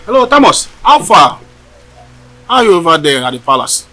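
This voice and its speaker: Nigerian guy on a call, confused and anxious, highish voice, keeps repeating himself, faint music. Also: sing-song, sharp tone, exaggerated voice. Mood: surprised, confused. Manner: halting, repetitive, emphatic. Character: bewildered man on a phone call, anxious friend. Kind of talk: screen drama acting.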